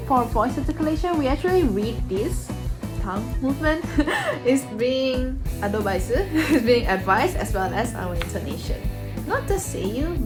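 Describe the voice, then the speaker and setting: A young woman with a fairly high voice chats casually to her viewers, her pitch swinging freely as she goes. She giggles often between sentences. Music plays clearly behind her. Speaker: former voice acting student vlogging. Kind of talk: casual chat. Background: background music.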